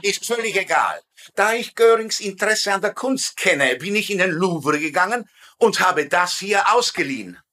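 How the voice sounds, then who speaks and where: High-pitched, imperious German general. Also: male voice, expressive, moderate pace, bright, forceful tone, full character voice. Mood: curt, imperious. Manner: curt, commanding. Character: gruff, threatening German general, pompous and impatient. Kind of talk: dubbed military sitcom acting.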